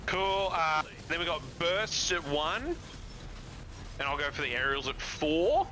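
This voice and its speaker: A man's voice: fairly high pitch, lively intonation, a little slow, pushed and bright, rough recording. A man whose voice swings up and down with plenty of life. He calls out targets and plays along in a laid-back co-op game chat, a faint hum of noise behind him. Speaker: co-op speedrun partner. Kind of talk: casual gaming chat.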